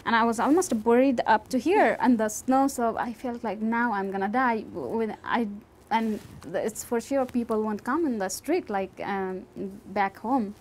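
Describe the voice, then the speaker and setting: A woman from Bhutan telling her own story in an interview, halting and searching for words. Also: on the high side, slight background noise. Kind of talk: recounting personal story.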